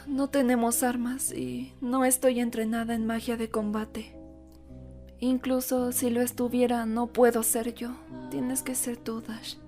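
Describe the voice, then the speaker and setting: A woman voicing a grave, rational female unicorn pony in a solemn, measured cartoon fandub. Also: fairly high pitch, flat delivery, warm tone, faint music behind. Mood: solemn, reasoning.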